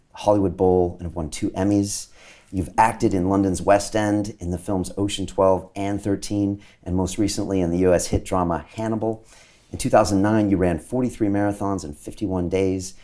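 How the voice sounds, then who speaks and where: Low-voiced male interview host. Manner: measured, steady delivery with frequent "uh" fillers. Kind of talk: scripted introduction then interview questions.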